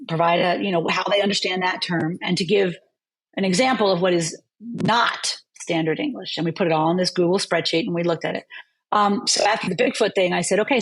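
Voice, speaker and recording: A woman's voice: on the low side, slightly rough, poor audio. A woman professor of linguistics, explaining her teaching in a vivid, storytelling manner during an interview. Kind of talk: conversational interview.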